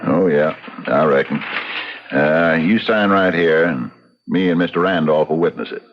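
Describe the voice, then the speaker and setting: A man's voice: a bass voice, measured pace. An American man acting a plain-spoken frontier sheriff in a radio drama, folksy and wary, with hesitant country turns of phrase. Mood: easygoing, official. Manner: folksy drawl, hesitant, colloquial. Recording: dull, lo-fi old radio recording.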